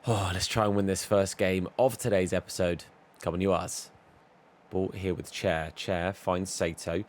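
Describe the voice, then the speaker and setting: A man with a hoarse voice, losing it, giving chatty, upbeat commentary over a football video game and talking straight to his viewers. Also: low voice, fairly even intonation, unhurried. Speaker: gaming YouTuber. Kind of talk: casual gameplay commentary.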